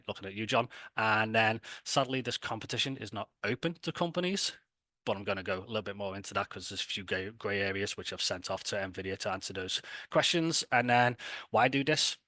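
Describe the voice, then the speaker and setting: A man's voice: lowish voice, bright, forceful tone, no room sound. A man with a Scouse accent hosting an event in a casual, chatty, enthusiastic and colloquial way. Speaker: event host and presenter. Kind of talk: casual, informal event presentation.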